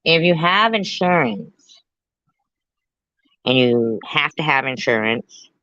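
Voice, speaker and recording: A woman's voice: very deep voice, lively intonation, slow pace, pushed and bright, dry recording, muffled recording. Blunt, sarcastic woman in her fifties. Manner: blunt, sarcastic, repeats herself, swears. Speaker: commentary host. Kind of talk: reaction commentary.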